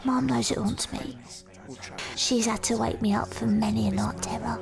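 Woman playing haunted young Victorian woman, hushed near-whisper narration, very gravelly voice. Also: fairly high pitch, fairly even intonation, moderate pace, music in the background. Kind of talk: first-person audio drama narration.

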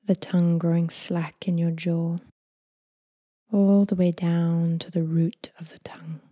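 A woman leading a guided body scan meditation in a soft, breathy, near-whispered voice, gentle, unhurried and soothing. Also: on the low side, dry recording, clean studio sound. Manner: gentle, unhurried, calming delivery with long phrases trailing off.

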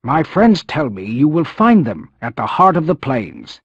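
Very husky, solemn chief. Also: male voice, on the high side, sing-song, no echo, exaggerated voice. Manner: stately, formal, measured phrasing. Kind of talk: cartoon video game acting. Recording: dull, lo-fi game audio.

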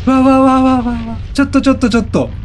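A man giving excited game commentary, exclaiming and repeating words rapidly as he reacts. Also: extremely high pitch, a little hiss or hum. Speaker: let's play gamer. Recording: game music behind the voice. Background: video game music.

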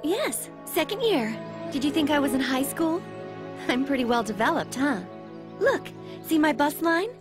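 A high, very lively teenage girl, teasing and sure of herself in theatrical anime drama style. Music plays behind her as she bosses and coaxes. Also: normal speed. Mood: teasing, breezy. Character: teasing, bossy, self-assured middle school girl. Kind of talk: anime audio drama acting.

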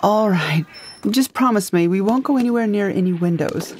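A woman acting a defiant, fretful rebel woman in a sci-fi audio drama. Her voice sits at an ordinary female pitch, with faint noise underneath. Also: lively intonation, normal speed, darkish tone, close to an everyday voice. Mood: tense.

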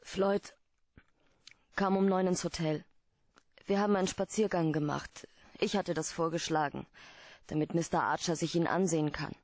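An actress playing a guarded, troubled client pleading for help in a German radio play, hesitant and earnest. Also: female voice, on the low side, fairly even intonation, moderate pace, long pauses, a little mellow, dry recording. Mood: guarded, explaining.

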